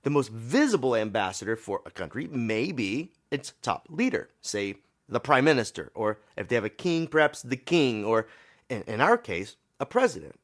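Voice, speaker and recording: Tired, scratchy-voiced pastor. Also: male voice, medium pitch, big pitch swings, normal speed. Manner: informal, self-deprecating, with small repetitions. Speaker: pastor and podcast host. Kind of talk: podcast sermon.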